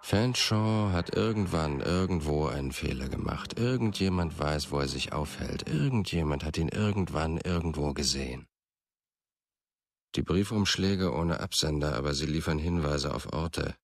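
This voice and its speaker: A man's voice: rumbling low, lively intonation, normal speed, gravelly, no echo, clean studio sound, exaggerated voice. An actor playing a brooding, obsessive man, giving a grim, controlled, intimate inner monologue in a radio drama. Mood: grim, determined. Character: brooding, obsessive man narrating his dark thoughts.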